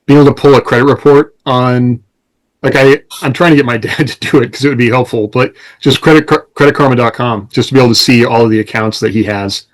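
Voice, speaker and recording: A man's voice: medium pitch, steady tone, fast talker, poor audio. A man co-hosting a casual podcast, talking in an explanatory, conversational way. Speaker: podcast co-host and author.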